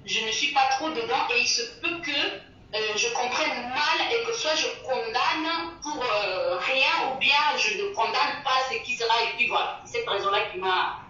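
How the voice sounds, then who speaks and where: A woman's voice: a little high, moderate pace, bright, forceful tone. A woman from the Republic, speaking as a guest in a casual interview and often hesitating and repeating herself as she searches for words. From Central African Republic. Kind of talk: casual interview talk, moral advice. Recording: echoey, distant, dull lo-fi sound.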